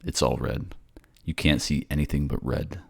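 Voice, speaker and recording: A man's voice: very deep voice, steady tone, normal speed, gravelly, slightly rough. A man reading scripted second-person narration for a fantasy audio drama. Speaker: narrator and voice actor.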